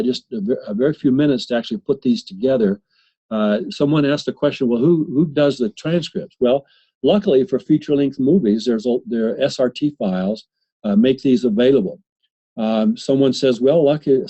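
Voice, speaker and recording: A man presenting a software demo in a webinar, talking haltingly with many fillers and restarts. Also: mid-range voice, slightly rough. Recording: slightly muffled webinar audio.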